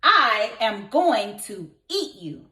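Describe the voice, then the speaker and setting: A woman voicing a gruff, greedy, bullying male troll who bellows and blusters, for a storybook. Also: fairly high pitch, very animated, slow, pushed and bright, exaggerated voice. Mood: thundering, hungry. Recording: echoey room.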